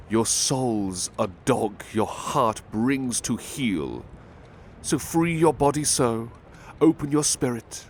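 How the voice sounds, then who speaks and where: A man playing a big-hearted butler, reading poems in a measured, gentle, formal ASMR style. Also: medium pitch. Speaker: ASMR roleplay performer. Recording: faint ambient background noise.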